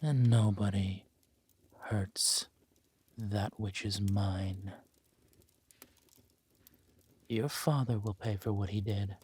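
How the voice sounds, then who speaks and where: Man playing dark fantasy villain, lowish pitch, level intonation, menacing, possessive lover, commanding, breathy murmurs, audio roleplay. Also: fairly even intonation. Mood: possessive, vengeful. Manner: slow, deliberate, commanding, dropping to breathy murmurs.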